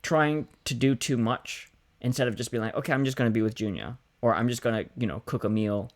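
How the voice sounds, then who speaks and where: A man with a medium-pitched voice talks casually as a podcast co-host. His delivery is halting, with sentences that double back and get rephrased along the way. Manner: halting, self-correcting. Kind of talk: casual conversational chat.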